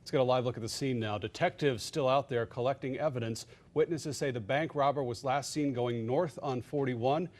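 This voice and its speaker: A man's voice: mid-range voice, normal speed, hardly any pauses. A man anchoring a TV newscast, reading scripted news in a studio.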